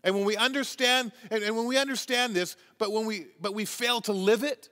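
A Canadian pastor in his forties preaches with a high, bright voice that has a forceful edge. He is earnest and emphatic, pressing each point home. His words ring out with a long reverb from the church hall. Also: a little fast. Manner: earnest, emphatic, gestures constantly while preaching. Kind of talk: sermon. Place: church stage with wooden wall, large hall.

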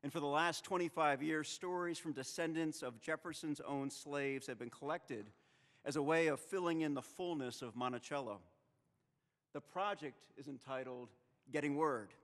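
Man preaching in a reverberant chapel. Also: fairly high pitch. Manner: measured, rhetorical preaching with dramatic pauses. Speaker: seminary president preaching in chapel. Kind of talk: sermon. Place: chapel with stone wall, at a wooden lectern. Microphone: lectern microphone. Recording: long reverb of a chapel hall.

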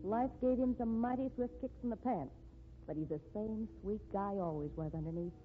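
A woman's voice: mid-range voice, normal speed, lightly acted. Actress as determined woman, insistent, 1950s radio acting, phone-like narrow band, faint noise. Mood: devoted, defiant. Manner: insistent, emphatic. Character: determined, devoted woman writer, stubborn schemer. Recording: tinny, noisy 1950s radio recording.

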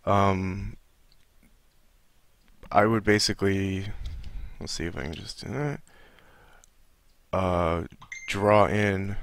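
A sleepy man giving a casual, improvised walkthrough, hesitant and low-key as he thinks aloud. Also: fairly bright tone. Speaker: audio editing tutorial presenter.

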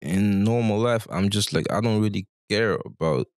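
A man's voice: on the low side, normal speed, no echo, studio quality. A man in his twenties telling his story casually as an interview guest, in slangy talk peppered with "bro" and "you know", into a handheld microphone. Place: small barbershop, indoors.

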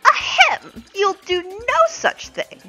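A woman's voice: very high-pitched, wildly swooping pitch, takes it slowly, bright, forceful tone, heavily acted. A woman voicing a grand, theatrical lady spirit in a video game fan dub, haughty, refined and melodramatic. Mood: indignant. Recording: game music behind.

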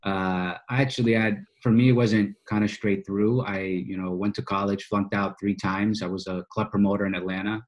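A man in his early thirties from Boston talks as an interview guest. His voice sits low with limited melodic movement. He tells his story informally, with plenty of slang. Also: level tone, moderate pace, hardly any pauses. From United States, Boston. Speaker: podcast guest, financial coach. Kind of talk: casual conversational storytelling. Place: small office, indoors, on a video call.

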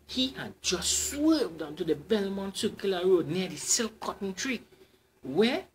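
Trinidadian uncle reading a folk tale, slow, low voice, swoops up and down a lot, bit of background hiss. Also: male voice, big pitch swings. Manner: slow, dramatic storybook reading. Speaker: storyteller.

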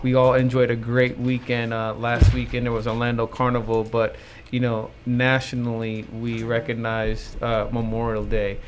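Measured army officer explaining history. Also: male voice, medium pitch, completely flat delivery, slight background noise. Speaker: army reserve major, guest. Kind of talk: informative talk.

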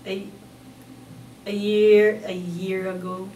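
A woman's voice: mid-range voice, little pitch movement, slow, mellow. Terse woman in her twenties. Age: 20s. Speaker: interviewee. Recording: echoey room, distant mic, noise.

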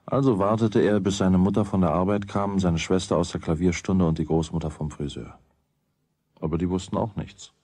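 A man's voice: deep voice, steady tone, a little fast, dark tone, slightly rough, dry recording. A man playing a wry, conversational storyteller, narrating a children's radio play in a musing manner.

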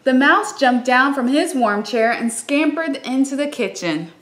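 A young woman reading a children's storybook aloud in an expressive, explanatory way, in a room at home. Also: high-pitched, unhurried, reverberant. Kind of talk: storybook read-aloud with casual asides. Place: small room at home, by a window.

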